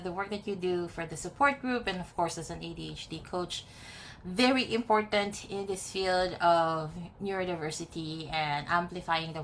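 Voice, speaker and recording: A woman hosting an interview, introducing her guest appreciatively and asking questions in halting phrases. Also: medium pitch, a bit bright, rough recording. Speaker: interview host.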